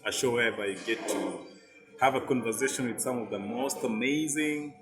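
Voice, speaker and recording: A man hosts a casual podcast chat, joking and loose with plenty of ums. His voice is mid-pitched, and the room is echoey with music clearly playing underneath. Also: a little fast. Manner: lively, joking, many fillers. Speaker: podcast host.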